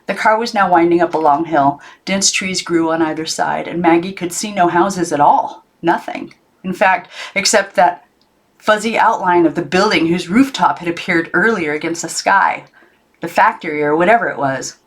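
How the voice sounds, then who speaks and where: A woman reading a children's novel aloud as an audiobook narrator, in an expressive storybook style. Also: fairly low pitch, normal speed. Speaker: audiobook reader.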